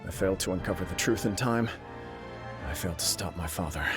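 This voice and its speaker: A man's voice: sits low, a little fast, music in the background, lightly acted. A man voicing a young acting clan head who speaks earnestly and blames himself, in a video game. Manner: earnest, self-blaming.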